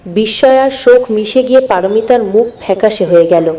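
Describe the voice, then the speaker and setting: A woman's voice: medium pitch, moderate pace, mellow. A woman narrating a scripted moral story in an earnest, dramatic storytelling manner. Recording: long hall-like reverb.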